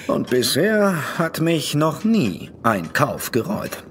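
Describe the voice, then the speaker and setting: Slow, hesitant gentleman collector. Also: fairly high pitch, huge pitch swings, a little hiss or hum, heavily acted. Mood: courteous, wry. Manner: deliberate, hemming, polite and wry. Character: hesitant, courteous gentleman collector, dryly haggling. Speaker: voice actor in an audio drama. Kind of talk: German horror audio drama acting.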